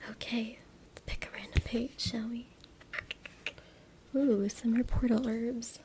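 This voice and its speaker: Whispering woman, breathy ASMR reading. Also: on the high side, little pitch movement, slow. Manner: hushed, informal. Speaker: ASMR creator. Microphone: close USB microphone. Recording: noisy USB mic with clicks, tapping. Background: tapping, scratching, clicks.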